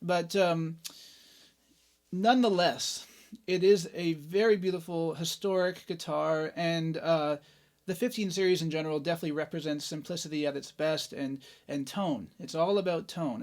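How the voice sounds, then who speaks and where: A man's voice: on the high side, fairly even intonation, moderate pace. A man hosting a casual guitar review, chatty and enthusiastic, with loose fillers and exclamations. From Canada. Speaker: host, guitarist reviewing gear. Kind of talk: casual gear review talk. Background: acoustic guitar playing.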